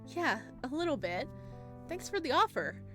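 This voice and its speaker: A woman's voice: high-pitched, sing-song, normal speed, over music, voice pushed up. A woman voicing a friendly, apologetic customer in a visual novel, casual and polite in manner. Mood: friendly, unsure.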